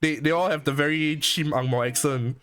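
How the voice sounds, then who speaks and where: A man's voice: a little high, fairly even intonation, normal speed, fairly bright tone. A man from Singapore co-hosting a casual chat, rambling with many restarts and swearing heavily. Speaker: co-host.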